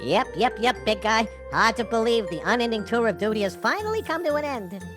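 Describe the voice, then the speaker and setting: A woman's voice: fairly high pitch, big pitch swings, fairly quick, music in the background. A woman playing Santa's eager, chatty, deferential sidekick in an audio drama, with a wry, hesitant manner. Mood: chipper.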